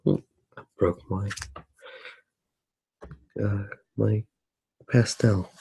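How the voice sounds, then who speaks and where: A man narrating his drawing for ASMR in a soft-spoken, unhurried way, musing aloud as he works. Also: fairly low pitch, steady tone, vocal fry, husky, low-quality recording. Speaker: ASMR artist.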